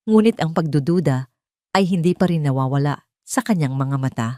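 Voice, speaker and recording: Female storyteller for scripted drama narration; lowish register, highly expressive intonation. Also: moderate pace, dry recording, clean studio sound.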